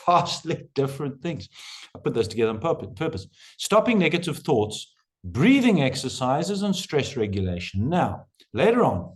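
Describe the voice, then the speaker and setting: A man's voice: mid-range voice, darkish tone. A man hosting an online coaching workshop, lecturing emphatically and repeating his key phrases.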